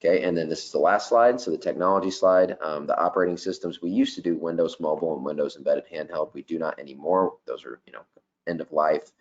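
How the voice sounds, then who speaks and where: Very deep-voiced man presenting software. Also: fast, dull audio. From not Danish. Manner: casual, many fillers like um and you know. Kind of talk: webinar product presentation. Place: office.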